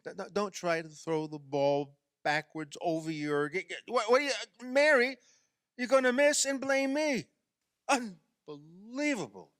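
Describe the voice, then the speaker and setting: A man's voice: high-pitched, expressive, a little slow, fairly bright tone, dry recording, studio quality. An actor in a radio play playing an exasperated man who coaxes and explains insistently, often stammering. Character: exasperated man coaxing a woman through a playground basketball game.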